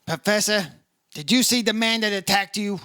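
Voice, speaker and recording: A man playing a brisk, matter-of-fact insurance investigator in an old-style radio detective drama. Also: high-pitched, sharp tone, clear, resonant tone, no echo, clean studio sound. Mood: concerned, probing. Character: brisk male insurance investigator narrating his case. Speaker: actor playing the lead investigator. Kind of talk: 1950s radio detective acting, scripted narration.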